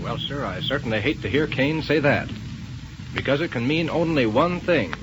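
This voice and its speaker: A man announcing a 1930s radio serial and pitching its sponsor in scripted style. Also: expressive, moderate pace. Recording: faintly noisy 1930s radio recording.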